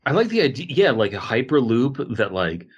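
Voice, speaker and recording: A man's voice: mid-range voice, sing-song, normal speed, breathy edge, lo-fi sound. A man hosting a podcast, chatting casually in a rambling, joking, informal way. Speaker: podcast host. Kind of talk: casual comedic podcast chat.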